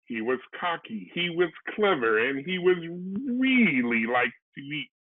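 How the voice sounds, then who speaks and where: Animated, unhurried man reading a storybook. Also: on the high side, no room sound. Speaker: storybook reader. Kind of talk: expressive children's read-aloud narration. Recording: narrow phone-like sound, dry.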